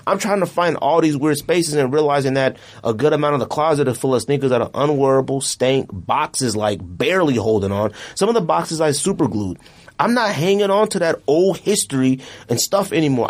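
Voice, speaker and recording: A man in his late thirties hosting a casual podcast, talking in an opinionated, slangy, emphatic way. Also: a little high. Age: late 30s. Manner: animated, emphatic ranting with slangy address. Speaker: podcast host.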